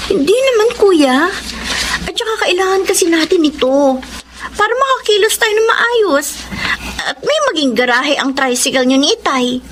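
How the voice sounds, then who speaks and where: A woman plays a hopeful young heroine in a Filipino radio drama. Her voice is high, sweet and trusting, over faint ambient noise. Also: very animated, normal speed. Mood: earnest, hopeful.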